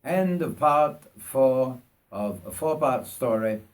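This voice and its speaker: An older man from North Yorkshire, England, reading his own life story aloud in a deliberate, dramatic way. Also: mid-range voice, animated. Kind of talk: autobiographical story narration.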